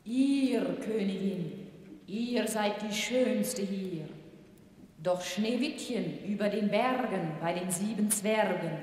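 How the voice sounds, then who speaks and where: A grave, ageless magic mirror delivers its verdict slowly in a fairy-tale audio play. The voice drifts from far off and rings through a long, echoing reverb, like it comes from inside the glass. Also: female voice, medium pitch, a little mellow. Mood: solemn. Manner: slow, grave pronouncement. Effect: heavy echo reverb. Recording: echoey hall reverb.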